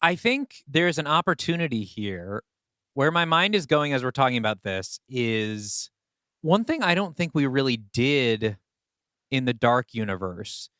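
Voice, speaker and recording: A man co-hosting a podcast, talking through story ideas casually and reasoning them out in a measured way. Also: mid-range voice, big pitch swings, bright, forceful tone, smooth, dry recording, studio quality. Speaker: podcast co-host and story writer. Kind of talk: casual brainstorming conversation.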